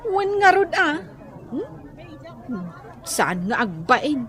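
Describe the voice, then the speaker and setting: An actress playing a nosy, chatty village woman in an Ilocano comedy radio drama, reacting in drawn-out exclamations with an Ilocano accent. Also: sits high, big pitch swings, fairly bright tone, a faint crowd, heavily acted. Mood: scandalized.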